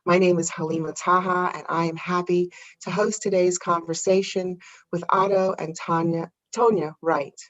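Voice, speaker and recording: Measured woman hosting, dull lo-fi sound. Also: lowish voice, level tone. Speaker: host. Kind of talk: scripted intro and interview questions.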